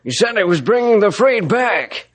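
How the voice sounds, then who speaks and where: An actor playing an eager, cooperative railroad employee in an old-time radio western. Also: male voice, high voice, sing-song, moderate pace. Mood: excited.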